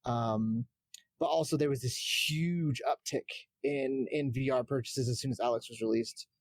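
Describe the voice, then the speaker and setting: A man's voice: mid-range voice, normal speed, no echo. A man chatting casually about games as a podcast panelist. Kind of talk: casual gaming chat.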